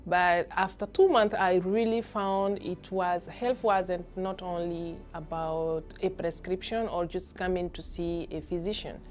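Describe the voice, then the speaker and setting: A woman's voice: lowish voice, steady tone, measured pace, few pauses. A woman with a non-native English accent, explaining her views earnestly in a personal testimonial. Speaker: clinician.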